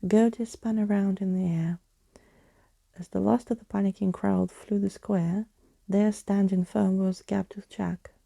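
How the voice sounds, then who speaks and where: A woman gives a gentle ASMR storybook reading. Her voice is very mellow and breathy, with creaky edges. She keeps the delivery hushed and soothing, as if for bedtime. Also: medium pitch, steady tone, unhurried, no echo. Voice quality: soft, breathy. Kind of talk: soft-spoken and whispered ASMR storybook reading.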